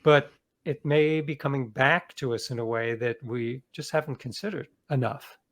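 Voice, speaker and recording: American man, earnest and halting explainer. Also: mid-range voice, fairly bright tone. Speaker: author, interview guest. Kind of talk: conversational interview explanation.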